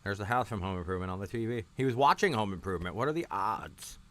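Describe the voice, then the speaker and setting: A man's voice: lowish voice, lively intonation, quick pace, fairly bright tone, dry recording. A man hosting a livestream, giving casual, rambling reaction commentary in a blunt, sarcastic, sweary manner. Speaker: livestream host.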